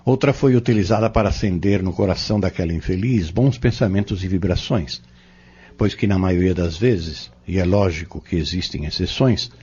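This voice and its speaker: Man playing a low-voiced, husky Brazilian spiritual mentor. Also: dark tone. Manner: formal, measured, preacherly. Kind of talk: audio drama acting, didactic monologue. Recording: dull lo-fi audio.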